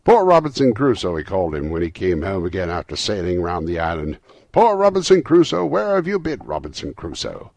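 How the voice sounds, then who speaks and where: A man voicing an old miser in a storybook, excitable and exclaiming, caught between laughter and tears with childlike feeling. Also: fairly high pitch, normal speed, gravelly, hardly any pauses, no room sound, voice pushed up, full character voice. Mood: ecstatic, excited. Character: old miser man overcome with childlike ecstasy and tearful remorse.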